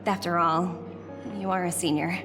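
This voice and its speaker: A woman voicing a young, polite and sharp corporate executive in a video game, with a formal manner. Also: on the low side, fairly even intonation, normal speed, natural voice. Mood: formal, respectful. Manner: formal and deferential. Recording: background music behind voice.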